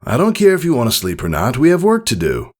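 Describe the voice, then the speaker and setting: Storybook pony father, acted by a male reader: upbeat, in charge, gently bossy, animated pitch. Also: fast talker. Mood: playfully firm. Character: cheerful, commanding pegasus pony father. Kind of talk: storybook character reading.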